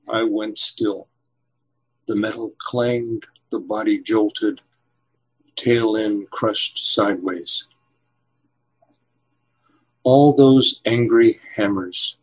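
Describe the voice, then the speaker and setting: Slow, husky-voiced man in his seventies. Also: lowish voice, low-quality recording. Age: 70s. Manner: slow measured reading, hesitant with fillers when chatting. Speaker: poet and novelist guest. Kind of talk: poetry reading and interview answers.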